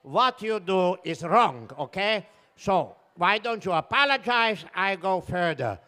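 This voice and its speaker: A man's voice: high-pitched, sing-song, unhurried, pushed and bright, exaggerated voice. A man voicing a hard-of-hearing bus driver, puzzled and stubborn, arguing in broken grammar for a told story. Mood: indignant, puzzled. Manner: broken grammar, bewildered, argumentative.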